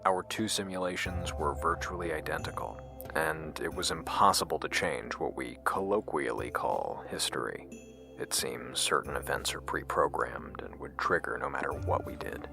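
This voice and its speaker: A man playing a grave scientist in scripted audio drama dialogue, speaking deliberately with hesitant pauses. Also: very deep voice, lively intonation, gravelly, full character voice. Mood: grave, confessional. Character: grave, secretive male scientist and his questioning double. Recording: music under the voice. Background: music.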